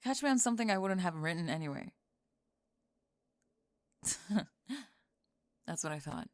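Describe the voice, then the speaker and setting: A young woman playing a sharp-tongued, defensive goth college student who is secretly smitten, in an ASMR roleplay, speaking in halting, clipped, emphatic phrases. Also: fairly low pitch, expressive, no echo, clean studio sound. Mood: dismissive, defensive. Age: young adult. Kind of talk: ASMR roleplay monologue, intimate audio drama.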